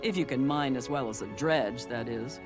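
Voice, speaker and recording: An actor playing a sly, self-assured secret agent in a fantasy video game, talking in a wry, confident manner. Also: male voice, medium pitch, normal speed, close to an everyday voice. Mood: amused. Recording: game music behind the voice.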